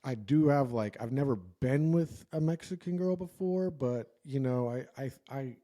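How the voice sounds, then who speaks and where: A man's voice: mid-range voice, moderate pace, warm tone. A young man co-hosting a podcast, chatting casually and joking, with lots of fillers and restarts. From United States. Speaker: podcast co-host. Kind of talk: casual chat.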